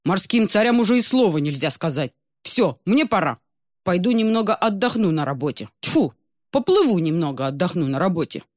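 A woman as a blustering royal sea king father, gruff and brusque, low. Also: sing-song, normal speed, darkish tone, no room sound, studio quality.

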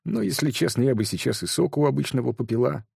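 A man voicing a worn-out young mother who speaks softly and timidly, with sighs. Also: mid-range voice, fast talker, vocal fry, slightly rough, dry recording, clean studio sound, voice pushed up, full character voice. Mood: weary. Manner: soft, sighing, timid. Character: weary struggling young mother.

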